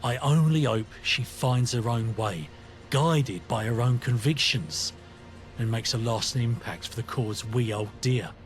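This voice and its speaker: An actor playing a conflicted, earnest British rebel in a video game, talking in a matey, reflective, colloquial way. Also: male voice, mid-range voice, measured pace, soft background music, close to an everyday voice. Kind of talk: scripted video game companion dialogue.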